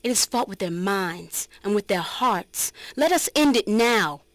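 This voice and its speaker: A woman giving a dramatic reading as a resolute, reflective former guerrilla fighter, measured and earnest. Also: medium pitch, lively intonation, no echo, natural voice. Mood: grave, firm, then impassioned. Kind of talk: dramatic reading of a written testimony.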